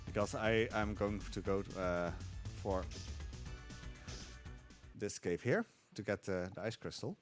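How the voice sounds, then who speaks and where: A man calmly explaining tricks while playing a game live, with frequent fillers. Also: lowish voice, measured pace, long pauses, soft background music. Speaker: speedrunner. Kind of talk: live speedrun commentary.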